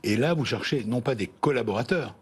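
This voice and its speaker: Male TV host, husky, animated delivery, playful interrupting interviewer. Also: mid-range voice. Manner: jokey, teasing, interrupts with quick questions. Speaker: TV business show host.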